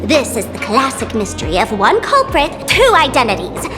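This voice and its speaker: A woman's voice: high voice, wildly swooping pitch, normal speed. A woman voicing a playful, theatrical young female trickster in a video game, teasing and showy. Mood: smug, theatrical. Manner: teasing, showy, dramatic declarations. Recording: music behind the voice.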